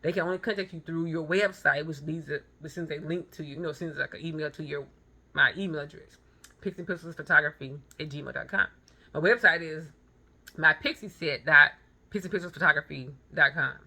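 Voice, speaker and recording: Bright, emphatic woman in her thirties. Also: low-pitched, a little fast. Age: 30s. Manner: emphatic, blunt, repeats phrases for stress. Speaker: vlogger and photographer. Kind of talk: motivational monologue with personal anecdotes.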